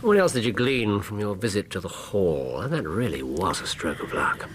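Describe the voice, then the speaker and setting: An actor with an English accent playing a shrewd, probing detective in a radio drama, husky, clipped, musing and dryly ironic. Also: male voice, lowish voice, sing-song, moderate pace, background noise.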